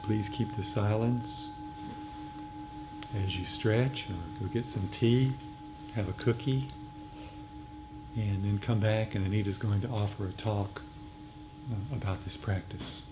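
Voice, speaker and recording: A man leading a meditation group, speaking in a measured way, phrase by phrase. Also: on the low side, steady tone, background noise. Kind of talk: poem reading and gentle group instructions.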